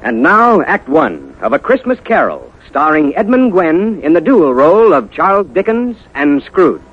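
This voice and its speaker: A man's voice: on the high side, huge pitch swings, measured pace. An American man announcing and narrating in a polished, emphatic 1950s radio style. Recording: muffled 1950s radio recording.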